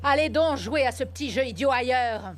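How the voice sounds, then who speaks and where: Brief: actress as a territorial cartoon hyena, dismissive and sneering. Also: female voice, high voice, a little fast, very noisy, close to an everyday voice. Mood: scornful. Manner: scornful, dismissive. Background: cartoon music score.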